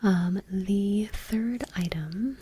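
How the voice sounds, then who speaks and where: A woman whispering gently and unhurriedly in a soft-spoken ASMR style, close to the microphone. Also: medium pitch, extremely slow, slight background noise. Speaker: ASMR creator and host.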